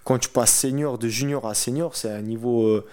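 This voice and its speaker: A teenage man of eighteen being interviewed about his sport. He talks in a casual, colloquial way and often repeats a phrase to drive it home. His voice sits at an ordinary middle pitch. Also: steady tone, moderate pace, a little mellow, clear, resonant tone. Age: 18 years old. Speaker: athlete interviewee, wrestler. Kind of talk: casual chat.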